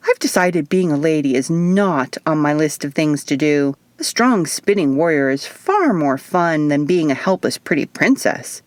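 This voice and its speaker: A woman's voice: low-pitched, expressive, moderate pace, hardly any pauses, dry recording. Mischievous young hybrid girl played by a female narrator, impulsive, eccentric, for fantasy audiobook. Dry, close sound. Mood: playful, excited.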